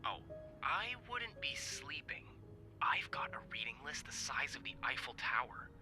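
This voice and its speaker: An actor playing a soft-spoken, bookish young hotel worker in a video game, shy and warm. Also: male voice, mid-range voice, very animated, moderate pace, in a whisper, faint music behind. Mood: tender, hopeful. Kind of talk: game voice acting.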